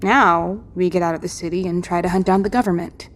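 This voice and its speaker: A woman's voice: lowish voice, fairly even intonation, fairly quick, close to an everyday voice. A woman playing a determined, world-weary apocalypse survivor in an audio drama, speaking in a terse, matter-of-fact and commanding way. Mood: grim, resolute.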